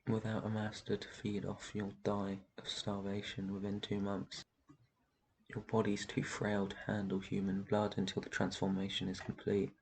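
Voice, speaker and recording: An ancient vampire master, acted out by a man, with a low voice full of gravelly creak. He speaks in a gentle, protective and soothing way, as in an intimate roleplay ASMR. His delivery stays very even, with slight noise behind it. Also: normal speed. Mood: reassuring, protective. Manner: soft shushing, reassuring, tender endearments. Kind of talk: roleplay ASMR, intimate one-sided dialogue.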